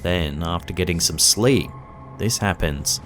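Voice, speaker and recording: A man reading scripted narration in a measured, suspenseful way. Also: low voice, fairly bright tone, slight background noise.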